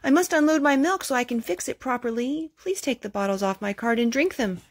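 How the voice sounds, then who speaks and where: A woman hosting a children's storytime, reading aloud in an expressive storytelling manner. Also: high voice, brisk.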